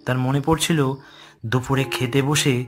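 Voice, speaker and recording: A man's voice: medium pitch, fairly even intonation, a little slow. A man narrating a horror story in a dramatic, scripted storytelling style. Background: music.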